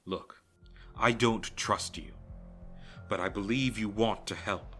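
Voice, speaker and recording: Man playing an earnest, bright-voiced superhero. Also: lowish voice, takes it slowly, faint music behind. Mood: firm. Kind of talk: audio drama acting.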